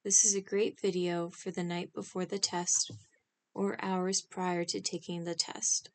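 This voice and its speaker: A woman leading a scripted guided meditation in a calm, instructional manner, speaking in short, spaced phrases. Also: fairly low pitch, measured pace.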